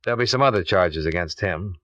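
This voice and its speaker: A blunt insurance investigator in vintage radio drama, with a lowish, forceful voice. He speaks in clipped, pointed phrases, whether questioning or narrating his case. The recording is dull, dry and lo-fi. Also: animated, fast, no room sound. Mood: stern, pressing. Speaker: lead actor and narrator. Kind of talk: 1950s radio detective drama acting.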